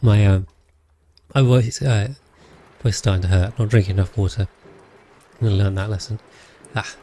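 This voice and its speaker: A British man streams a game in a fairly low, calm voice. He talks casually to his viewers and thinks aloud as he goes, measured and unhurried. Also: slight background noise. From Britain. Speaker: live streamer, host. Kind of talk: casual game commentary while chatting with viewers.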